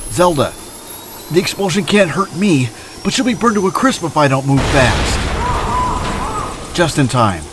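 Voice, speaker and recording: An actor playing a noble, confident atomic superhero in an earnest, declamatory old-time radio drama style. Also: male voice, a little high, very animated, measured pace, long pauses, background noise. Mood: urgent.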